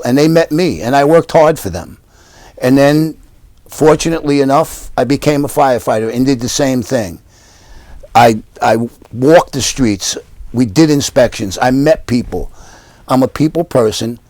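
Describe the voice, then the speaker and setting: Older male candidate, earnest stump-speech cadence, plain mid pitch, dry recording. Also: a little mellow, no echo. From Yonkers, New York. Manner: earnest, repetitive campaign speech. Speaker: council candidate. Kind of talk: interview answers. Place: living room at home.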